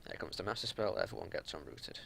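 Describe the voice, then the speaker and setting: A man's voice: low voice, sing-song, fast talker, gravelly, a bit bright, slight background noise. A man giving unscripted game analysis as a raid leader, explaining things in an exasperated, matter-of-fact way. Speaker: raid leader reviewing gameplay.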